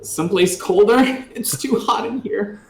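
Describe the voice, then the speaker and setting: A man's voice: high-pitched, unhurried. Modest young man in an echoey room. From Brazil. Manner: modest, self-deprecating, laughs nervously. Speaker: game developer guest. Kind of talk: casual interview chat.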